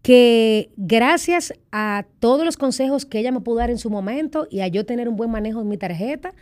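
A middle-aged woman with a Dominican accent, telling her own story in a candid interview, lively and full of quoted voices. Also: fairly high pitch, normal speed. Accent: Dominican Spanish. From Dominican Republic. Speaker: guest.

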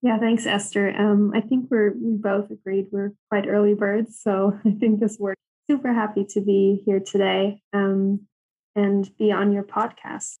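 A young woman from Germany, a podcast guest talking in a soft, hesitant way with many ums. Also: medium pitch, flat delivery, a little slow, lo-fi sound. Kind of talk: casual interview chat.

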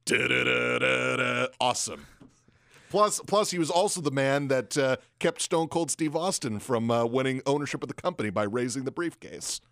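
A man's voice: medium pitch, moderate pace, vocal fry, fairly bright tone, no echo, clean studio sound. Man rattling off wrestling trivia. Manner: rambling, rattles off trivia with a wry tone. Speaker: podcast co-host. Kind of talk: casual wrestling chat. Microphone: studio microphone.

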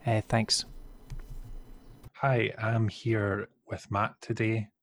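A man hosting a podcast, his voice unusually deep from a call glitch, hesitant with many fillers and restarts, recorded over a video call. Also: little pitch movement, drawn-out words. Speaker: podcast host. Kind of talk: podcast intro and interview questions.

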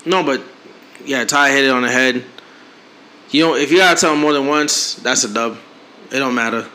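Bright-toned laid-back man. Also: mid-range voice, moderate pace. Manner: laid-back, slangy, short agreeing interjections. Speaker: podcast co-host. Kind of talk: casual advice chat.

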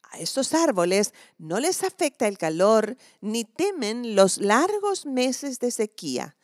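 Female devotional preacher. Animated pitch, reflective and emphatic delivery, conversational sermon style, dry sound. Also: slow pace, no room sound. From southern Chile.

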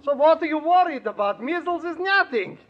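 A man playing an excitable, complaining old European professor with a husky voice in a 1940s radio comedy. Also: very high-pitched, fairly quick. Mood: dismissive. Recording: dull vintage radio recording.

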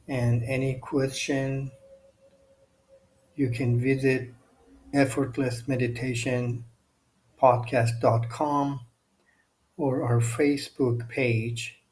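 A man hosting a guided meditation podcast, speaking non-native English in short, measured phrases with long pauses. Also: medium pitch, darkish tone, rough recording. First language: not English. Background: music.

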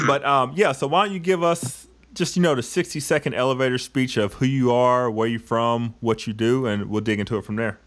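A man's voice: medium pitch, steady tone, a little fast, a bit bright. Upbeat slangy man hosting a podcast. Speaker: podcast host. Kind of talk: casual podcast interview.

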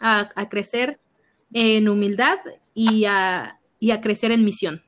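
A young woman with a Mexican accent, a youth minister sharing her faith story in an animated conversational way. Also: medium pitch, slow pace, slight background noise. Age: young adult. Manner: animated storytelling, acts out her own past reactions. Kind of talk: personal testimony in conversation.

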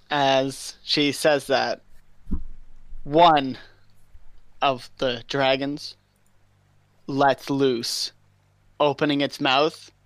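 Slow-talking male game master. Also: medium pitch, a bit bright, dry recording. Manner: drawn-out narration, frequent laughter. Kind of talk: narrating a tabletop game.

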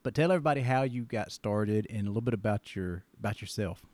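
A man's voice: fairly low pitch, quick pace, a little mellow. A man in his forties hosting a casual interview, telling jokey stories in a chatty way. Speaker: podcast host.

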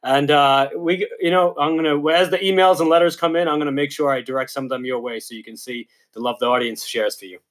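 A man's voice: a little high, fairly even intonation, quick pace, few pauses. A man with a New York accent hosting a podcast, friendly and upbeat, speaking straight to his listeners. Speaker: podcast host. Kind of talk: casual podcast interview.

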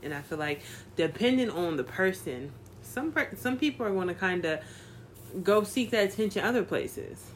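A woman's voice: mid-range voice, expressive, moderate pace, slight background noise. A woman in her thirties in casual chat, playful and teasing with slang.

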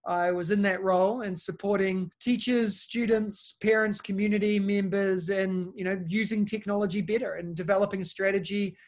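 A man in his thirties from New Zealand with a New Zealand accent, a guest chatting casually in an interview about his consulting work, friendly and relaxed. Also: sits high, normal speed, few pauses. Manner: relaxed, friendly, talks in long lists. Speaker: guest, education technology consultant.

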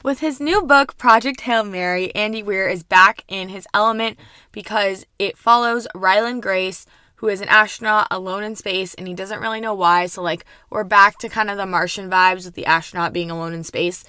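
A young woman hosting a casual book review podcast, her voice hoarse and gravelly from overuse, rambling chattily with casual swearing. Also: mid-range voice, moderate pace, pushed and bright, dry recording, studio quality. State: hoarse, worn-out voice. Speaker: podcast host.

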